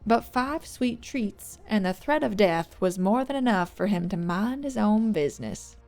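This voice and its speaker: Woman narrator reading a story, lots of vocal fry, soft music under it. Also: medium pitch, animated, a little slow. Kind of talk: scripted fiction narration.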